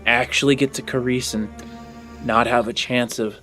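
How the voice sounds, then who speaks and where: A man playing an earnest, conflicted fugitive in a tabletop game, speaking in a halting, pleading way. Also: mid-range voice, fairly even intonation, pushed and bright, soft background music, close to an everyday voice. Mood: hesitant, pleading. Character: earnest, conflicted male fugitive longing for his sister.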